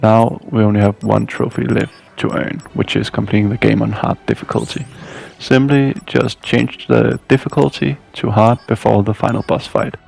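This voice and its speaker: Low, creaky-voiced man narrating a game guide. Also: fairly even intonation, normal speed, hardly any pauses, darkish tone. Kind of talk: casual scripted walkthrough voice-over. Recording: music behind the voice. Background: game music.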